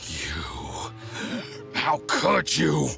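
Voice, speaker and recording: A man playing a poisoned official in a video game, outraged, desperate and menacing, with halting, stammering, gasping delivery. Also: mid-range voice, huge pitch swings, creaky, pushed and bright, faint music behind, exaggerated voice. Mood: shocked, choking.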